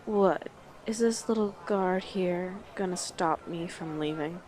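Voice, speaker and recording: Woman voicing a brash, unhurried orc woman. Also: fairly low pitch, darkish tone, a little hiss or hum. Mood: confrontational. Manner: sardonic, blunt, teasing. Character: brash, teasing female orc mercenary guide. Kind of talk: fantasy audio roleplay addressed to listener.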